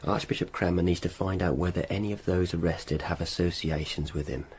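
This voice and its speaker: Deep, earnest Tudor official played by a man. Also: fairly even intonation, a little fast, darkish tone, slight background noise, close to an everyday voice. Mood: grave, worried. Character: earnest, weary Tudor coroner, a dutiful official. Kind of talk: radio drama acting.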